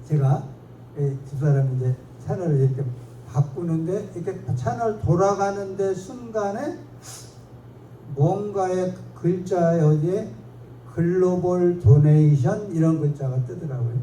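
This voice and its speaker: Guy giving a rambly local history talk, dark mellow voice, far off mic in a boomy hall. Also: a little slow. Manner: chatty, digressive, self-mocking. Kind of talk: public lecture with slides. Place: lecture hall. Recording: echoey hall from far off, faint noise.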